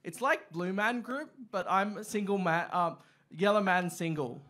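A young man performing open mic stand-up, with a halting delivery full of ums and uhs, on a small club stage. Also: high voice, lively intonation, pushed and bright. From Australia. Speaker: stand-up comic. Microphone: stand mic, far camera.

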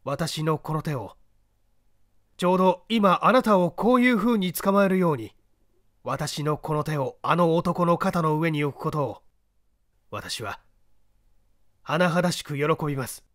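A man's voice: fairly high pitch, animated, unhurried, pauses often, a bit bright, no echo, studio quality, voice pushed up. A man reading an audiobook, voicing a proud, iron-willed detective in a grave, declamatory manner. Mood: grave resolve.

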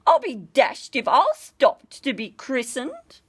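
A defiant ten-year-old boy from the bush, played by a woman reading a storybook. He is artful and indignant, with a high, emphatic voice that swings widely in pitch. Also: huge pitch swings, slow, slightly rough, dry recording, heavily acted.

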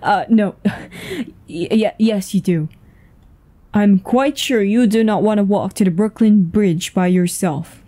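Lady acting out bossy sweary woman in an audio drama, slow talking, soft mellow tone, urgent. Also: medium pitch. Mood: flustered, urgent. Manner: swearing, urgent, breathy sighs and stammers. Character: tense, foul-mouthed, commanding woman with a secret. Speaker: voice actor in an audio roleplay. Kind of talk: dramatic ASMR roleplay acting.

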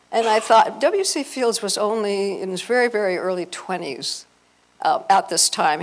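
Older American woman, creaky-voiced event speaker. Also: mid-range voice. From Los Angeles, United States. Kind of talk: informal public talk to an audience. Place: hotel conference room, indoors. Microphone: handheld microphone through PA.

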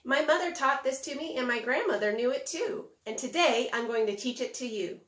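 A middle-aged woman presenting a preschool lesson to young children in an animated way, with simple phrasing and frequent questions to the listener. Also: high-pitched, a little fast. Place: indoors, home kitchen. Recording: roomy, echoey home kitchen.

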